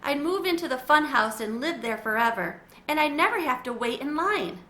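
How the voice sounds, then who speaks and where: A woman's voice: high-pitched, lively intonation, normal speed, pushed and bright, reverberant, pitched above the performer's own voice. A woman playing an annoyed older boy. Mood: dreamy.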